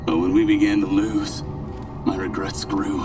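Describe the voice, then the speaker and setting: A man's voice: low-pitched, little pitch movement, measured pace, recorded from a distance, over music. An actor playing a tormented man, cursed and transformed by power, who speaks in an anguished, mournful way.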